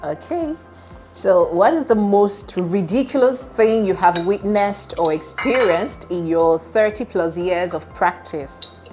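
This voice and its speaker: A woman's voice: mid-range voice, lively intonation, slow, dark tone, soft background music. A woman interviewing a guest, asking polite, deferential and formal questions.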